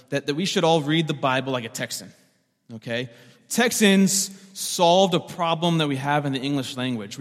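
A man's voice: a little high, long reverb tail. A man preaching a sermon as the pastor, conversational and joking, often repeating words as he restarts a thought.

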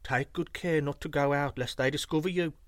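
A man reading a story, voicing a cunning young thief in a raised, lively voice. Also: a little high, a little fast, no room sound, clean studio sound, natural voice. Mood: instructing. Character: cunning young male thief, brisk and scheming.

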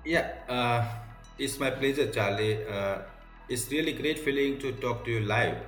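A man's voice: mid-range voice, unhurried, fairly bright tone, echoey room, soft background music. A man from India with an Indian accent, talking casually as an interview guest. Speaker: podcast guest, software tester.

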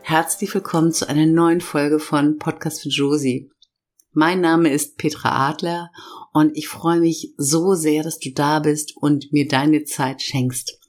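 A middle-aged woman hosts her own self-help podcast, and a cold has left her voice hoarse. She talks straight to the listener, slowing down to lean on each feeling she names. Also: low-pitched, fairly even intonation. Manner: slow, emphatic listing of feelings. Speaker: podcast host and inner-child coach. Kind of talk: warm guided podcast talk.

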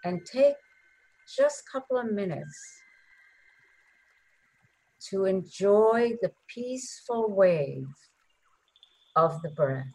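A woman leading a guided meditation in a gentle, soothing manner, leaving long pauses between short phrases. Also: mid-range voice, lively intonation, low-quality recording.

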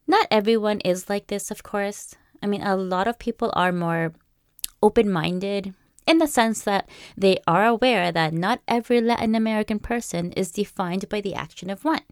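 Young woman, Peruvian-born, measured reflective solo host, mid pitch, close dry mic. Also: no echo. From Peru. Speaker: podcast host. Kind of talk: scripted solo monologue.

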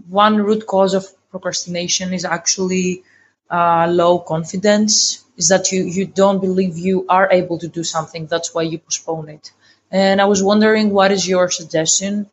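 A woman's voice: mid-range voice, fairly even intonation, a little slow. A young woman from Greece with a Greek accent, a caller speaking carefully and hesitantly in her second language. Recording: lo-fi voice message.

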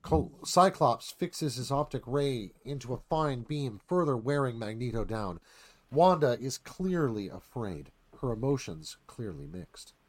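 A man's voice: medium pitch, lively intonation, a little slow, a little mellow. A man reading scripted stage directions as the narrator of a live radio theatre performance.

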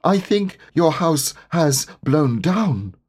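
A man's voice: fairly high pitch, big pitch swings, takes it slowly, darkish tone, slightly rough, pitched above the performer's own voice, heavily acted. A man voicing a small, timid and anxious piglet in a storybook reading, with a nervous manner.

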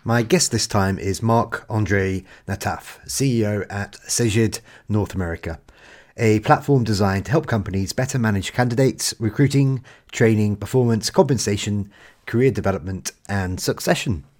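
Brief: male podcast host, low voice, chatty and jokey, very dry recording. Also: normal speed, a little mellow, no room sound. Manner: chatty, jokey, stumbles on repeated words. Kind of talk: podcast interview hosting.

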